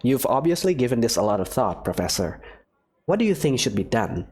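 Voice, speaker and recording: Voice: mid-range voice, big pitch swings, a little fast, a little mellow, slightly rough. A voice actor playing a cautious young male student who talks in a hesitant, worried, stammering way. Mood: wary. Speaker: player character in a fan visual novel.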